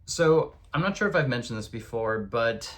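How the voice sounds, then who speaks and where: A man's voice: medium pitch, expressive, moderate pace. A man born in the United States and raised in Europe, chatting enthusiastically through a bookshelf tour at home, with lots of fillers. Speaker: video creator giving a bookshelf tour. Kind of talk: casual spoken vlog.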